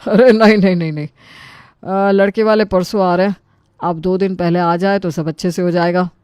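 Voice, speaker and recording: A woman's voice: fairly low pitch, steady tone, normal speed, a little mellow, clean tone, dry recording, clean studio sound, natural voice. A woman voicing an anxious, deferential relative in a Hindi story, with a polite, pleading manner. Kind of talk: Hindi storybook character acting.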